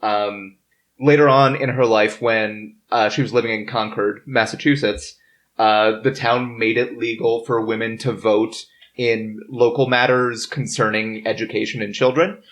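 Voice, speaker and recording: A podcast host talking casually through history research in a halting, hesitant way. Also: mid-range voice, fairly even intonation, fairly bright tone. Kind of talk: casual history discussion among friends. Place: in person around a table.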